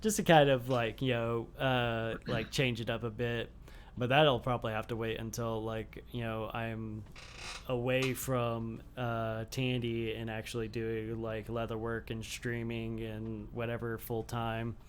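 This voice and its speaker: A man's voice: medium pitch, level tone, a little slow, a little hiss or hum. A man hosting a casual podcast, rambling with lots of fillers and casual swearing. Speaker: podcast host.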